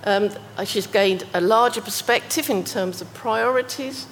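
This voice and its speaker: A woman giving an academic talk on research case studies, speaking in a measured way and reading quoted passages aloud. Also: medium pitch, long reverb tail, a little hiss or hum. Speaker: academic presenter.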